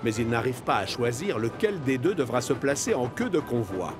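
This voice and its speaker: A man narrating a children's cartoon in a measured, clearly enunciated storytelling style. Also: medium pitch, very animated, close to an everyday voice. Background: music and train sounds.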